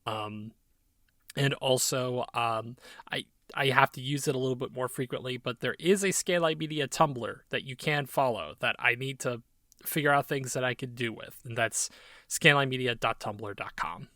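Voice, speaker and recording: A man's voice: mid-range voice, normal speed, sharp tone, no echo, studio quality. A man co-hosting a gaming podcast, talking informally and enthusiastically in rambling asides. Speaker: podcast co-host. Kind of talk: casual gaming podcast chat.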